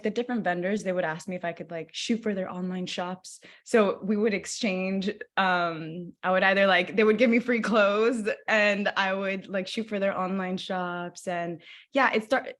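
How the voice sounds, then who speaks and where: A young woman from Los Angeles talks as a guest in a relaxed interview. Her pitch sits in the middle range. She circles her point with fillers, restarts and stray "like"s. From Los Angeles, USA. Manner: rambling, many fillers and restarts. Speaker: guest actor. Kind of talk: casual interview talk.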